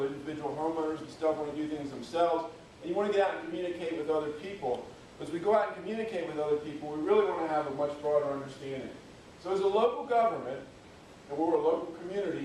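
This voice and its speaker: Middle-aged man presenter, fairly high voice, folksy and emphatic, far from the mic in a very echoey room. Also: a little mellow, slight background noise. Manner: folksy, conversational, emphatic on key points. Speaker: public works official giving a talk. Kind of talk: conference presentation to an audience. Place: university lecture room. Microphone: speaking away from the microphone.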